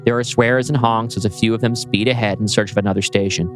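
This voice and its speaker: A man's voice: on the low side, fairly even intonation, quick pace. A man reading scene directions from a script as a podcast host. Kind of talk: scripted narration of a screenplay. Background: music.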